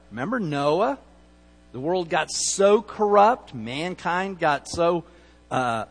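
A man's voice: a little high, fairly bright tone. A middle-aged man with a light Texas accent, originally from Utah, preaching a sermon with emphatic delivery, folksy asides and repeated words. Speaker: pastor. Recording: long hall reverb.

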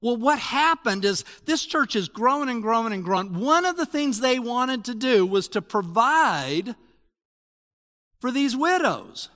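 Pastor, male, high and lively voice, casual restart-filled preaching, echoey hall. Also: a little slow. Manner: animated, conversational preaching with restarts. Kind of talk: sermon.

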